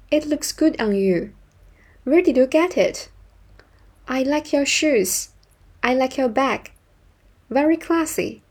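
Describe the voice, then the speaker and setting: Female language tutor, slightly high and relaxed in tone, presenting scripted example phrases for learners. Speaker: English teacher.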